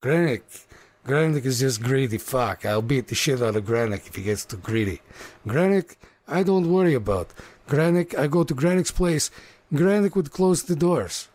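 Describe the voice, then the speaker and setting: A man voicing a huge, jovial male orc chef who speaks broken English in a booming, blunt way. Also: expressive, moderate pace, darkish tone, no echo, natural voice. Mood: boastful.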